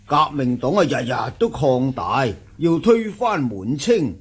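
An actor playing a worried middle-aged investor in a radio drama, sighing and hesitant, with a Hong Kong Cantonese accent. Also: male voice, medium pitch, sing-song, unhurried, breathy edge. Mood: gloomy, worried.

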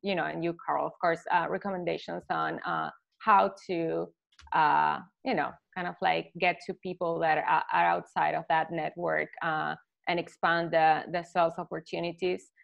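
A woman's voice: sits low, little pitch movement, moderate pace, gravelly. A woman in her thirties from Argentina, an agency owner asking a question on a video call, speaking hesitantly with many fillers and restarts. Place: home living room. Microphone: laptop webcam on a video call. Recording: dull lo-fi video call audio.

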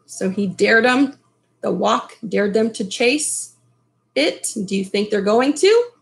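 A middle-aged woman reading a picture book aloud expressively, in an unhurried way, with casual asides to young listeners. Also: medium pitch, animated, vocal fry. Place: small furnished room at home, indoors. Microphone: webcam at desk distance.

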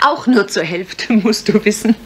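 An actress playing a witty, theatrical wife turned sleuth in a German radio mystery, arch, playful and sure of herself. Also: female voice, medium pitch, animated, slow, a bit bright. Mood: playful, inquisitive. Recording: faint noise, retro radio play.

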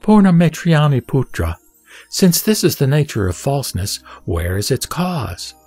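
A man reads sacred text as a narrator, with a measured and solemn delivery. His pitch is average, and music plays underneath the scripted, dramatic reading. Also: big pitch swings, a little mellow, breathy edge, dry recording. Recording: background music behind the voice.

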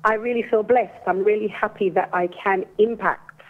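Phone guest: woman, 40s, English accent, earnest and careful, mid pitch, tinny line. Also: fairly even intonation. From Jamaica. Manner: earnest, careful, deliberately slow delivery. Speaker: guest, restorative justice coordinator. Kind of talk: phone-in interview, explaining a program. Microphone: telephone.

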